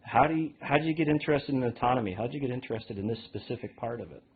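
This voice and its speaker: A man's voice: medium pitch, animated, very fast, husky. A man hosting a live lecture, introducing the guest and steering with upbeat questions. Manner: upbeat, leads questions with restarts. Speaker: host, moderator. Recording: tinny phone-like line.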